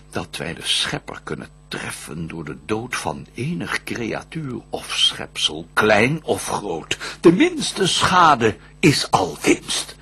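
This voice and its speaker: Husky, scheming fallen angel. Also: male voice, on the low side, full character voice. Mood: hushed, scheming. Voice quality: husky, raspy. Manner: declamatory, dramatic, swinging from hushed to roaring. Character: Lucifer, scheming vengeful fallen angel ruling the abyss. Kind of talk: theatrical radio drama verse monologue.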